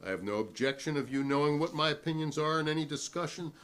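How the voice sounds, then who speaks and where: A man's voice: a little high, animated, fast talker, a bit bright. A man playing a defiant, principled newspaperman who testifies formally and argumentatively at a 1958 hearing in a dramatic reading. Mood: indignant, firm.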